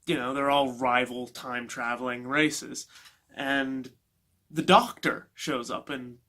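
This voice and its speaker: Male book-review vlogger, mid-pitched, informal and opinionated, halting unscripted delivery. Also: fairly even intonation, pushed and bright. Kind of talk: casual unscripted review chat.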